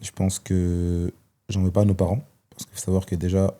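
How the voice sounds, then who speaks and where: Deep-voiced man, reflective. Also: little pitch movement, moderate pace, dark tone. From Belgium. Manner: reflective, hesitant with many fillers. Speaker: guest. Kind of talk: personal testimony in conversation.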